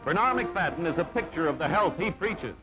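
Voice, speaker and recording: A man's voice: a little high, expressive, a little fast. A man reading scripted narration for an old newsreel in a clipped, dramatic, telegraphic delivery. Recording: dull old newsreel soundtrack with music. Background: music.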